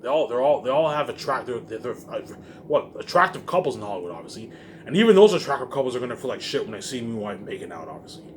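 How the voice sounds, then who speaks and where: A man's voice: mid-range voice, sing-song, fast talker. A man rambling casually to viewers in an emphatic, repetitive, profane way, leaning on the same verbal tags. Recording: faint laundry-machine background noise.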